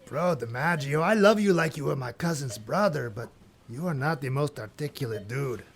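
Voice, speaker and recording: A man playing War as a slick, cocky bro in a comedic audio drama, coaching in slangy talk with a gravelly edge. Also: mid-range voice, animated, moderate pace.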